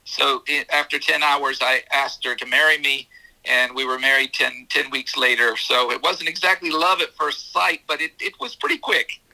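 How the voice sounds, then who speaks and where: A man, telling his life story in an interview, pausing often with fillers and restarts. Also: mid-range voice, vocal fry, bright, forceful tone, poor audio. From United States. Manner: halting, many fillers and restarts. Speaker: guest, ministry leader. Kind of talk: testimony interview.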